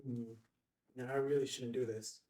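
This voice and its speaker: An actor playing an eager, awkward young office assistant in a table read, hesitant and rambling. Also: male voice, mid-range voice, little pitch movement, normal speed, mellow, lightly acted. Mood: nervous, eager. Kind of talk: filmed screenplay table read. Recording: echoey room, distant mic.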